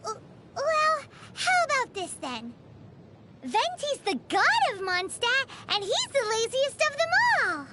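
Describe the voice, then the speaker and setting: A woman voicing a tiny, perky, childlike female companion with a squeaky voice in a video game, music behind her. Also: sing-song, measured pace, background noise, exaggerated voice. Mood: curious, earnest. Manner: refers to self in third person, exclamatory. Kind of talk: video game character dialogue.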